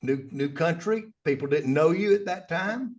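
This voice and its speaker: A man's voice: a little high, normal speed. A man co-hosting a lawyers' podcast, talking in a conversational, stumbling way with frequent restarts. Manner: animated, apologetic, stumbling restarts. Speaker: podcast co-host, trial lawyer. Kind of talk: conversational interview.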